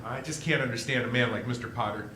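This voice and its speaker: A man playing an eager, restless young dreamer in a live radio play, boyish, enthusiastic and a little halting. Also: mid-range voice, close to an everyday voice. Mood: earnest, concerned. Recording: echoey room, faint noise.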